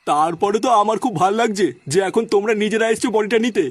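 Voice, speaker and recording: A man playing an excitable cartoon doctor with a high, husky voice. He speaks rapidly and is easily flustered. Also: dry recording. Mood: polite, grateful. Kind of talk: Bengali cartoon comedy dubbing.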